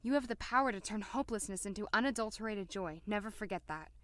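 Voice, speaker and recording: A woman's voice: medium pitch, expressive, fast talker, fairly bright tone, no room sound, lightly acted. Woman playing a determined female doctor, dry close sound. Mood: sombre reading. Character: determined, sly, indignant female doctor working undercover. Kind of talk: audio drama acting.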